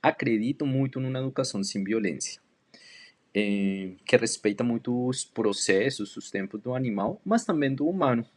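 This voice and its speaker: A man from Colombia with a Spanish-tinged accent introduces himself and his work as a dog educator, speaking in a measured way with hesitant pauses and drawn-out words. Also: mid-range voice, expressive. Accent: Colombian Spanish accent in Portuguese. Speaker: dog trainer and canine educator. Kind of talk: self-introduction talk to a community.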